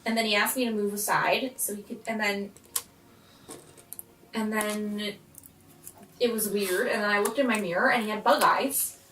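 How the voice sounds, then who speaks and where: A woman's voice: mid-range voice, steady tone, normal speed, pauses often, a bit bright, far from the mic. A woman playing a tabletop game, chatting casually in character with hesitant restarts. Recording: echoey room, off the mic, music.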